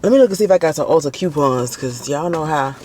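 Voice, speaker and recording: A man with a Southern Alabama accent vlogging casually from his car, animated and laughing often as he talks to a handheld camera. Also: fairly high pitch, fast talker. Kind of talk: casual driving vlog. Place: in a car, driving. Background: faint car and road noise.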